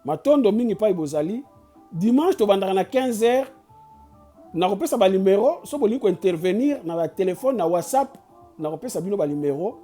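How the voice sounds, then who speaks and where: Urging Congolese host, mellow voice. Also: mid-range voice, lively intonation, fairly quick, faint music behind. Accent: Congolese French. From Kinshasa, Congo. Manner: preachy and urging, with frequent restarts and stammers. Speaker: channel host. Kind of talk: impassioned address mixing Lingala and French.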